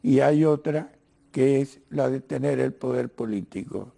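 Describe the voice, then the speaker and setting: Older cuban guy with argentine accent, real slow and creaky, halting professor type talking politics in an interview. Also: medium pitch, warm tone. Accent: Cuban-Argentine Spanish. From Cuba. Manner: halting, professorial, repeats words while searching for the next. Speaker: interview guest, writer and lawyer. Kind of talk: political discussion in a broadcast interview.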